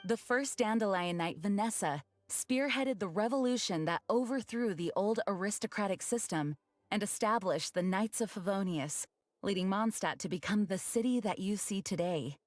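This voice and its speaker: A woman playing an earnest, dutiful young knight commander in an anime video game, speaking in a measured, formal way. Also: medium pitch, no room sound, clean studio sound, close to an everyday voice. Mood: solemn, explanatory. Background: background music.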